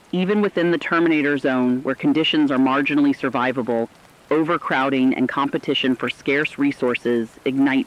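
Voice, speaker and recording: Slightly muffled audio carries a mid-pitched man acting as a radio co-anchor. He is somber and earnest, giving formal scripted catastrophe reports and stressing each item in his lists, in radio drama style. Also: lightly acted. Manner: earnest, formal, emphatic listing.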